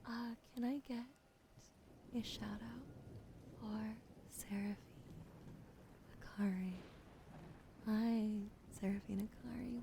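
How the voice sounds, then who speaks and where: A woman whispering gently in a slow, soothing ASMR stream, welcoming and thanking her viewers. Her voice carries a light vocal fry. A clear steady hiss of noise sits behind her. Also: fairly high pitch. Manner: greets and thanks chat.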